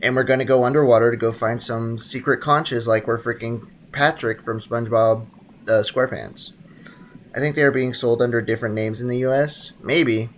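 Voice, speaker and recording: A man's voice: mid-range voice, steady tone, moderate pace, slight background noise. A young man, chatting casually with his live-stream audience while playing a video game. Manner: chatty, greets chat, talks to himself while playing. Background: faint game music.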